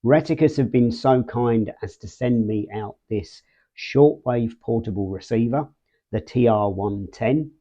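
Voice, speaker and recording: Slow-paced man, thin phone-like sound. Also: mid-range voice, warm tone. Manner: measured, pausing mid-sentence between short phrases. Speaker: video host, product reviewer. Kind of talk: casual unboxing and product walkthrough. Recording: muffled, phone-like narrow band sound.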